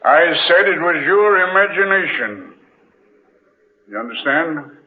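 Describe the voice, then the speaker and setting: Commanding sea captain: highish voice, gruffly skeptical, blustering, tinny old radio drama recording. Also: male voice, animated, slow pace. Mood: stern. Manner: authoritative, blustering, stammers when rattled. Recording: tinny narrow-band vintage radio recording.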